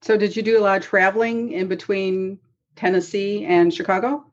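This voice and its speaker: A woman hosting a faith podcast, reading a measured introduction and then asking casual questions. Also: medium pitch, fairly even intonation, muffled recording. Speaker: podcast host. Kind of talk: scripted introduction and interview questions.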